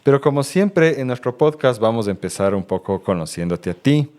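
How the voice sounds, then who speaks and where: A man who hosts a podcast and asks questions in a friendly, relaxed way. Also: medium pitch, fairly quick, no room sound. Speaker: podcast host. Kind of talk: conversational podcast interview.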